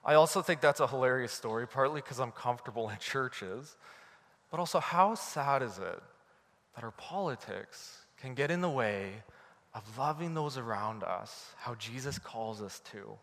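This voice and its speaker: A Canadian man preaching a sermon from the pulpit, telling a story in a lively, animated way. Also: mid-range voice, normal speed, in a large hall. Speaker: pastor.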